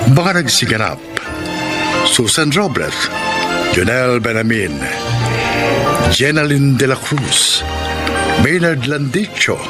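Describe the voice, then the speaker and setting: A Filipino man giving dramatic scripted narration as the announcer of a radio drama. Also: medium pitch. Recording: loud music behind broadcast voice.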